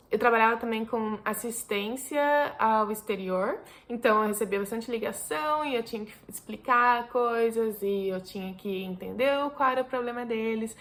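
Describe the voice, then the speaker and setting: A woman's voice: a little high, fairly bright tone. A woman in her twenties from Brazil, chatting casually to camera in a rambling way, full of restarts and fillers. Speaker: vlogger.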